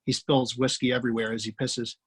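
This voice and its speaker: A man reading screenplay action lines aloud in a steady, matter-of-fact way. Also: medium pitch, a little fast, breathy edge. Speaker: screenplay reader.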